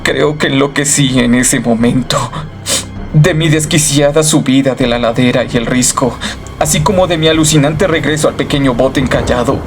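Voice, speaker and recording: A man's voice: mid-range voice, moderate pace, close to an everyday voice. Man acting haunted horror narrator over prominent music. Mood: haunted recollection. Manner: solemn, theatrical first-person storytelling. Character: tormented, haunted male narrator sliding into madness. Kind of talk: dramatic horror radio play narration.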